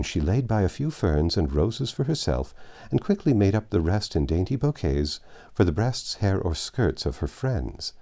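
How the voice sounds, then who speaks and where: A man reading a classic story aloud as a narrator, in expressive scripted phrasing. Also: low voice, gravelly. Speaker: audiobook narrator.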